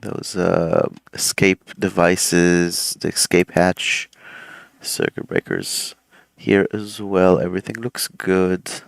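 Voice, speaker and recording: A man's voice: sits low, slow pace, creaky, no room sound, studio quality. A man streaming a flight simulator session, chatting casually with many fillers while running cockpit checks, his throat a bit swollen. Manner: relaxed, rambling, many fillers. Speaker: flight sim streamer, airline pilot.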